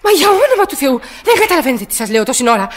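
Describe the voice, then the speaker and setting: An anxious, imperious woman in a radio drama. Her voice is high and swings widely in pitch. She stammers and presses her demands with agitation. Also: very animated. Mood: urgent, indignant. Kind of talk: radio play acting.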